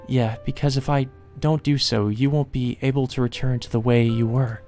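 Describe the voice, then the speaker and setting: A man voicing a gentle young maid in a visual novel, speaking softly with wistful resignation. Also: medium pitch, steady tone, normal speed, faint music behind, voice pushed up, natural voice. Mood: gently soothing.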